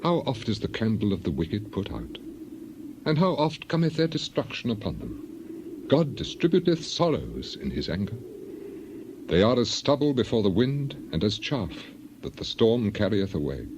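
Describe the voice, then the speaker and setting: Anguished biblical sufferer, bitter and defiant, low voice, sweeping intonation, noisy recording. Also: male voice, very animated, normal speed. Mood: questioning, indignant. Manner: impassioned, declamatory. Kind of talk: dramatized scripture reading. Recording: clearly noisy background.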